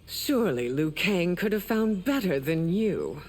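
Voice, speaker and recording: Imperious, commanding empress with dramatic swoops. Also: female voice, fairly low pitch, sing-song, measured pace, darkish tone. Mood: disdainful. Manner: regal, commanding, formal. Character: imperious middle-aged warrior empress, stern and proud. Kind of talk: dramatic video game dialogue.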